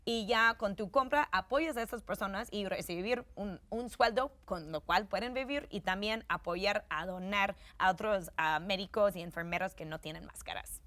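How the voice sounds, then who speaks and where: A woman's voice: mid-range voice, normal speed, few pauses, a bit bright. A woman from New York, a journalist guest speaking in a panel discussion, thoughtful and at times hesitant. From New York, United States.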